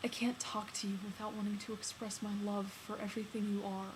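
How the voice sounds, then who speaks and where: A woman plays a lovesick man baring his feelings to a close friend in a dramatic monologue. The delivery is earnest and halting, swelling into emphasis, at a medium pitch. There is some noise in the recording. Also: little pitch movement, close to an everyday voice. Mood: earnest confession. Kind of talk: dramatic film monologue performed on stage. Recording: noticeable background noise.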